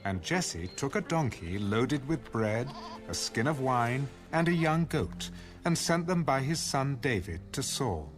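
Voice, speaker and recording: Man narrating bible story, animated intonation, measured and formal, soft music behind. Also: medium pitch. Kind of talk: scripted audio Bible narration.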